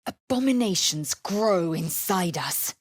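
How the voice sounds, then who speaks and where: A woman voicing a fierce, proud githyanki warrior in a video game, imperious and clipped in manner, with a husky, breathy voice. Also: lowish voice, lively intonation, slow pace, dry recording, clean studio sound, full character voice. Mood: commanding.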